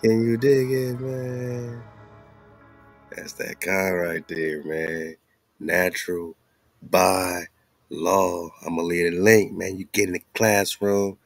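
A man's voice: sits low, steady tone, takes it slowly, vocal fry. A man hosting a show, chatting casually and slangily as he hypes music, with laid-back repeated filler phrases. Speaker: radio show host. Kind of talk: casual hype chat promoting music.

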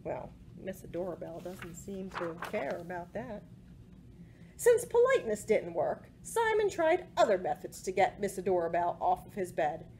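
A woman in her forties reading a picture book aloud to children in an expressive way, with asides about the pictures. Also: on the low side, normal speed. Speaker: library storytime presenter. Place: small indoor room with bookshelves. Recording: home recording with faint background noise.

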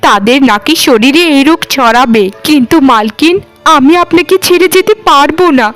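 A poor, devoted maid pleads humbly and respectfully in a high voice, as a woman plays her. She is gentle and loyal, a cartoon servant. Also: lively intonation, normal speed, soft background music. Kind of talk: cartoon voice acting.

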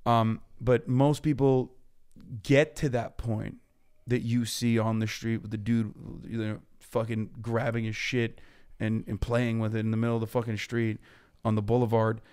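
A man in his thirties from Turkey, a podcast guest ranting about politics in a blunt, profane way in a studio on a boom mic. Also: level tone, a little mellow, clear, resonant tone. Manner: blunt, profane, rapid explaining. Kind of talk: heated political chat. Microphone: boom mic on stand.

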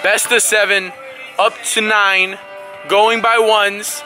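High-voiced young man vlogging, music behind. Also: little pitch movement, takes it slowly. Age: young adult. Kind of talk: casual chat to camera and friends. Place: school hallway and residential driveway outdoors. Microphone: handheld camera at arm's length.

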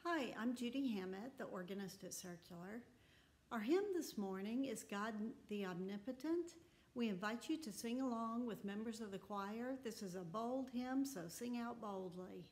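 A woman's voice: on the high side, animated, normal speed, darkish tone. An older woman, a church organist, giving a short spoken introduction for a congregation. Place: living room with piano, indoors.

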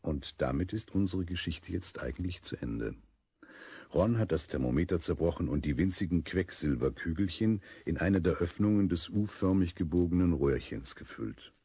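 Fatherly narrator for children's audio drama: extremely low, rough-edged, close and dry. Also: animated, moderate pace, dark tone, no echo, heavily acted. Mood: storytelling. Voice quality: husky, very deep. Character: unhurried fatherly storyteller of a children's tale. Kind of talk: scripted children's story narration.

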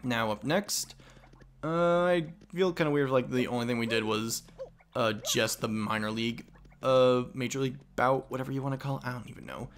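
A man's voice: medium pitch, moderate pace, slight background noise. A young man casually commenting as he plays a game, rambling and self-mocking. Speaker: let's play host.

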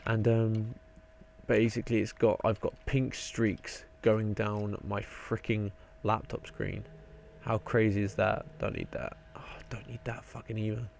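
A young man with a croaky voice, rambling and swearing casually while narrating his gameplay. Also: on the low side, little pitch movement, a little slow, a little mellow, slight background noise. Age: young adult. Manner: rambling, swears often, mutters to himself. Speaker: game video maker.

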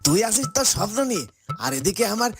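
A man's voice: high-pitched, very animated, quick pace. A man voicing a grumbling, comic hired henchman in a cartoon fairytale, exclamatory and nagging. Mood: complaining. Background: loud music.

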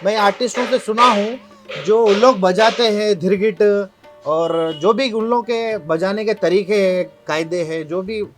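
A man's voice: high-pitched, measured pace, faint music behind. A man with a Hyderabadi accent explaining his craft casually in an interview, often circling back over his points. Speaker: tabla maker and shopkeeper, interviewee.

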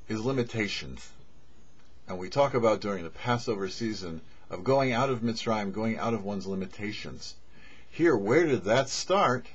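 A middle-aged man, a rabbi giving a short religious teaching in measured phrases, mixing in Hebrew terms. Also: mid-range voice, husky. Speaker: rabbi, host of a weekly Torah show. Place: indoors before a cloth backdrop. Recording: dull, muffled lo-fi audio.

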